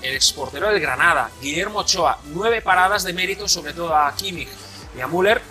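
A man's voice: high-pitched, a little fast, pushed and bright. A man giving fluent, enthusiastic studio football analysis. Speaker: football pundit. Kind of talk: studio commentary. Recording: music over the voice.

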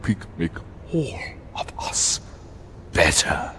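A man voicing a deranged, menacing masked butcher villain in breathy, raspy, broken muttering that slips into whispers. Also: deep voice, very animated, slow, sharp tone, a little hiss or hum, full character voice. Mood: frantic, pleading.

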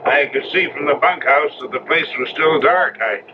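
An actor playing a steady, solemn ranch foreman with a measured, grave delivery in an old radio drama. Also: fairly high pitch, close to an everyday voice. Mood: steady, helpful. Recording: dull, noisy old radio broadcast recording.

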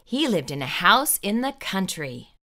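Bright, animated woman reading to children. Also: mid-range voice. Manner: theatrical, deliberate, emphatic. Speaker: children's storytime host. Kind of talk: expressive picture-book read-aloud. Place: small indoor room with bookshelves.

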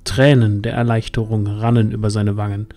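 A man's voice: fairly low pitch, flat delivery, brisk, dark tone. A man narrating a scripted fantasy audio drama as its storyteller. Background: music.

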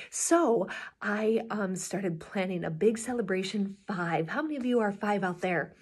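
A woman in her thirties talking to young children as a story time host, with an animated, encouraging and very expressive manner. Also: mid-range voice, husky. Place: small indoor room, classroom-like.

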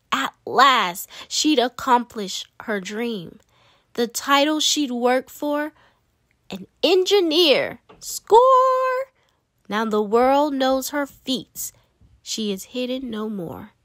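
A woman's voice: on the high side, dry recording, studio quality. A woman reading a children's picture book aloud expressively, with dramatic emphasis and playful asides. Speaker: read-aloud storyteller.

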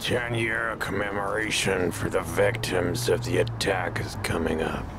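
An actor playing a menacing, grieving middle-aged drifter in a video game, speaking quietly and ominously in a gravelly voice. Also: male voice, steady tone, background noise. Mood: brooding grief. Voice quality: gravelly, low. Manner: slow, quiet, ominous, half-whispering.

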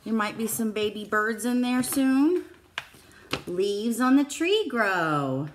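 A woman's voice: a little high, animated, slow, slight background noise. A middle-aged woman, a preschool teacher, reading a picture book aloud to young children in simple phrases. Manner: simple child-directed phrasing with questions to listeners. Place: small classroom, indoors. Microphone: phone or tablet at arm's length.

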